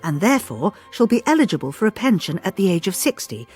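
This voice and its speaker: An actress playing a stiff, officious schoolmistress nearing sixty, formally reading out a memo in a radio drama. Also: female voice, mid-range voice, very animated, fast talker, a little mellow, husky, soft background music. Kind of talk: radio drama, read-out letter.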